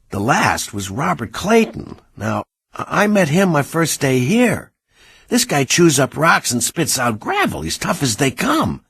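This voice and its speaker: Rattled medical technician, lively husky voice. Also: male voice, medium pitch, heavily acted. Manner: colloquial, uneasy, hesitant asides. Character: shaken young male medical technician confiding in an audio log. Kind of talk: video game voice acting, informal spoken log.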